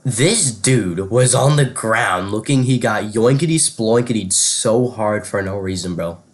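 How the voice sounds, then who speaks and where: A man's voice: on the low side, a little slow. A young man chatting and telling stories casually, in loose slangy speech full of "bro" and "like". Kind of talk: casual spoken storytelling and chat.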